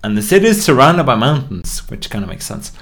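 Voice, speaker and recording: A man's voice: medium pitch, big pitch swings, a little fast, fairly bright tone. A man from Norway's west coast, chatting casually on a live stream, swearing a lot and losing his thread often. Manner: profane, easily sidetracked. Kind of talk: casual rambling stream chat.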